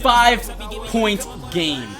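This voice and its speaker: A man's voice: high voice, sing-song, very slow, bright, forceful tone, soft background music. A man giving self-hyping play-by-play commentary on his own basketball video game, narrating each play in the present tense. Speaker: video gamer and channel host.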